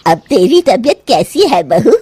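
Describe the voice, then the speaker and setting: A woman's voice: fairly high pitch, very animated, measured pace, very noisy. A woman voicing an exasperated, scheming older mother-in-law in a cartoon story, with a scolding, theatrical delivery. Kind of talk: Hindi cartoon moral story acting.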